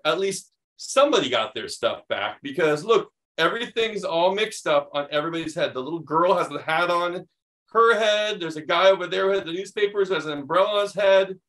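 A middle-aged American man reads a picture book aloud to young children, animated and expressive, adding chatty explanations. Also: fairly high pitch. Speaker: children's read-aloud host.

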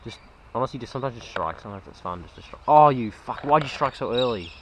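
A young Australian man fishing a creek with mates, teasing and excitable, filmed on an action camera outdoors. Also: mid-range voice, fast talker, crowd noise. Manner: excitable, teasing, laughing often. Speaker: fishing vlogger. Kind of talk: casual banter while fishing.

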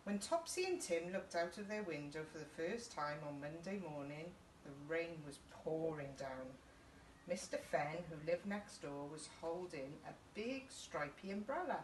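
A woman's voice: sits low, expressive, moderate pace. A middle-aged British woman reading a picture book aloud to young children, often putting questions to them. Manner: child-directed, asking listeners questions. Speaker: story time host reading to children. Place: small indoor youth group playroom. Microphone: camera a couple of metres away. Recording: echoey room, distant mic, faint background noise.

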